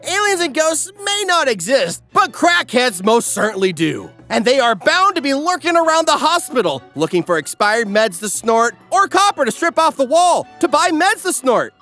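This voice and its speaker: A man's voice: very high voice, very animated, moderate pace, few pauses, pushed and bright. A man reading a scripted comedic anime recap as narrator, sardonic and theatrical. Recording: music behind.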